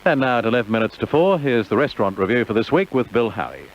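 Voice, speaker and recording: A man's voice: mid-range voice, lively intonation, fast talker, highs cut off. An Australian man hosting a radio programme, announcing records and features, on an old broadcast tape. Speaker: radio host.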